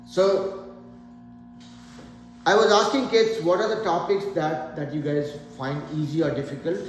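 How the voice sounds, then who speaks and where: Echoey, high-voiced man teaching math. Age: 40s. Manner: patient, repeats points, asks students questions. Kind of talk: live online class. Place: classroom. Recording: echoey room, faint background noise.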